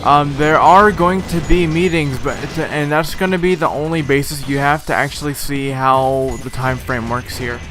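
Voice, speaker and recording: A slightly hoarse man giving casual, rambling commentary over a video game playthrough, with frequent filler words. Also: a little high, moderate pace, few pauses. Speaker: let's play host. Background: game music.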